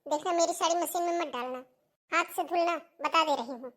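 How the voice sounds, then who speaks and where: A woman voicing a bossy, taunting older mother-in-law in a cartoon family drama, with a sharp, nagging manner. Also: very high-pitched, a little fast. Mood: scolding.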